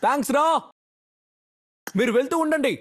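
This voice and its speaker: A woman's voice: high voice, moderate pace, close to an everyday voice. A woman with a Telugu accent voicing a young soldier's short battle callouts for a game voice pack. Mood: alert, urgent. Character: young female soldier giving teammates quick battle callouts.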